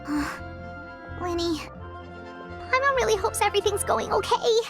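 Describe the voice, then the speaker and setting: Casting: childlike fairy companion for anime game, sky-high squeaky pitch, very lively intonation, chatty and exclamatory. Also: female voice, moderate pace, creaky, exaggerated voice. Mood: curious, concerned. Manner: refers to self in third person, exclamatory. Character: small childlike flying companion, chatty, naive and nervy. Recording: music behind. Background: game music.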